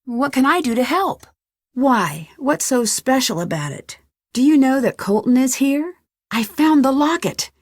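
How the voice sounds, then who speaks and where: An actress with an American accent playing an inquisitive, determined young amateur detective in a video game, speaking clearly and questioningly. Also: female voice, high voice, sing-song, measured pace, no echo, clean studio sound, full character voice. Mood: curious, probing. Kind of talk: scripted video game dialogue.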